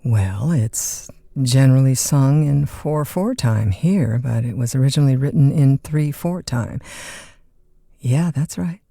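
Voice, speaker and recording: A lonesome late-night radio disc jockey in an audio drama. The voice is mellow and a little husky, unhurried and close in a dry studio. The talk is folksy and polite, full of small hesitations, as if chatting on the phone. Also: female voice, extremely low pitch, expressive, no echo, full character voice. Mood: friendly, obliging. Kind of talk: naturalistic audio drama acting, one side of phone calls.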